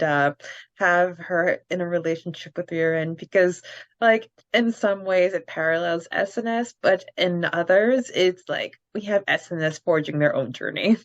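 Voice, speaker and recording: A woman's voice: on the low side, dry recording. A woman co-hosting a casual fan podcast, chatting and reading aloud with an enthusiastic manner. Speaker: podcast co-host. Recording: slightly dull, dry close recording.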